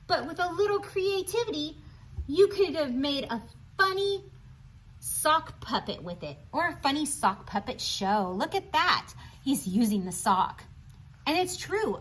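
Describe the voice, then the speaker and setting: A woman with a high, very lively voice reads a storybook aloud for young children. Her intonation swings widely as she speaks straight to her listeners, posing questions and offering encouragement in asides. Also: low-quality recording. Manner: animated, questioning, encouraging, addresses listeners directly. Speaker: children's read-aloud presenter.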